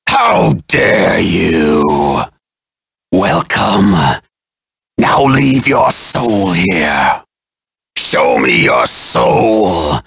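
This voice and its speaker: An actor playing a cruel, menacing undead pirate in a fighting game, with a harsh, gravelly voice and theatrical delivery. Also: male voice, low-pitched, very animated, extremely slow, fairly bright tone, no echo, full character voice. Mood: menacing, gloating. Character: undead male pirate villain, menacing, cruel, soul-hungry. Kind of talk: fighting game battle cries and taunts.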